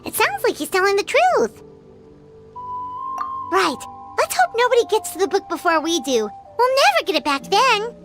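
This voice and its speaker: An eager little companion sprite chirps in a very high, bouncy voice. The delivery leaps around, and loud game music plays behind. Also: female voice, sing-song, moderate pace, long pauses. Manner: eager and chatty. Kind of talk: game voice acting.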